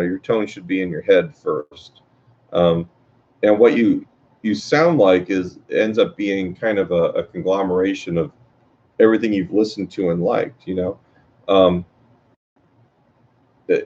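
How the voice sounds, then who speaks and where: A man teaching guitar in a casual workshop talk, with a low voice and a rambling way of speaking, full of fillers and restarts. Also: darkish tone. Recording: dull lo-fi sound.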